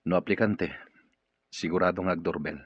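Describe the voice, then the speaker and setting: An actor playing a gruff, suspicious, irritable wealthy boss in a Filipino radio drama, speaking curtly with a Filipino accent. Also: male voice, low-pitched, steady tone, normal speed, no echo, dull audio. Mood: impatient. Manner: curt, questioning. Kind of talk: Ilocano radio drama acting.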